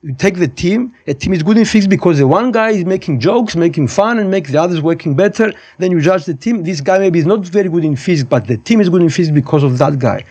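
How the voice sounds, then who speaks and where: A man in his fifties with a Cypriot accent speaks in a fairly high register. He talks casually as a podcast guest, giving firm opinions, wandering off and restating his points. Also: animated, quick pace, few pauses, warm tone. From Cyprus. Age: 50s. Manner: opinionated, digressive, repeats and rephrases points. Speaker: podcast guest, high school physics teacher. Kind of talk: casual conversational interview.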